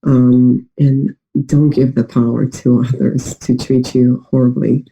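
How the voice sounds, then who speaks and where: A woman from Russia with a New York-sounding American accent, a bit nasal from a recent cold, speaking earnestly with many pauses and fillers in a video-call interview. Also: a bass voice, flat delivery, vocal fry, warm tone, a little hiss or hum, poor audio. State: slightly nasal from recent illness. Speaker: interview guest, adoptee.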